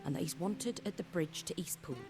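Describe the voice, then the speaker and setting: An actress playing a wary, plain-spoken innkeeper in a video game. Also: female voice, sits low, fairly quick, over music. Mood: helpful. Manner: guarded, plain-spoken, folksy. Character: wary, blunt woman innkeeper. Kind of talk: game dialogue.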